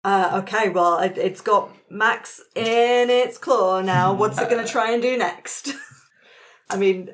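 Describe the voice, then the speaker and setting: A woman's voice: medium pitch, takes it slowly. Casual woman narrating a tabletop game.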